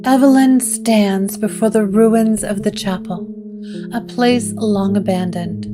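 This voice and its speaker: A woman narrating a gothic audio drama in slow, hushed, dramatic storytelling. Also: mid-range voice. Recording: guitar music under the voice.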